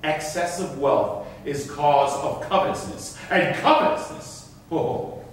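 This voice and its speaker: A man's voice: fairly high pitch, slow pace. A man playing a stern, sanctimonious governor in a staged reading of old verse, declaiming pompously. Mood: sanctimonious scolding. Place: theatre stage in a hall. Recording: echoey hall, distant mic, faint noise.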